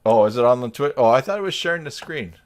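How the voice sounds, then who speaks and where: A man's voice: medium pitch, animated, quick pace, crowd noise. A man hosting a casual video call chat, joking and teasing as he talks. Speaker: live stream host.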